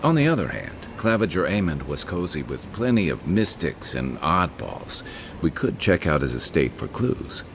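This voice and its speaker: A man voicing a gruff, wry, streetwise adventuring companion in a video game. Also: low-pitched, sing-song, moderate pace, background noise, full character voice. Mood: reassuring.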